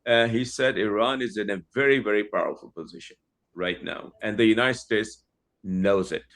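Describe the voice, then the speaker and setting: A man's voice: fairly low pitch, moderate pace, a bit bright. A man from India interviewing a guest, speaking conversationally with many hesitations and fillers and the odd chuckle.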